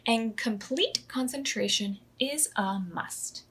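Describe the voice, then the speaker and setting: High, bright-voiced woman reading to toddlers. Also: takes it slowly, breathy edge. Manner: expressive, simple phrasing for small children. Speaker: children's storytime host at a library. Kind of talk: read-aloud storytelling for toddlers.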